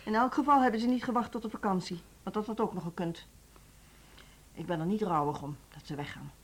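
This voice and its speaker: A woman's voice: mid-range voice, fairly quick, breathy edge, lightly acted, low-quality recording. An actress playing a dry, matter-of-fact, decisive woman who runs a music school, in a Dutch radio drama. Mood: curt, determined.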